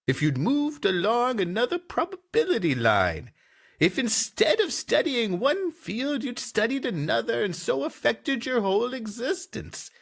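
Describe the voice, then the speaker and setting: Man playing a slow, theatrical mystic fortune-teller. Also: high-pitched, gravelly, a bit bright, voice pushed up, heavily acted. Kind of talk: pulp sci-fi audio storytelling.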